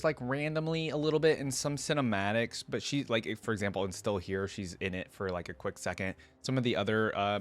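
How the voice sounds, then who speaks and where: A man hosting a casual reaction video, musing aloud in an informal way. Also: on the low side. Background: music from the video being watched.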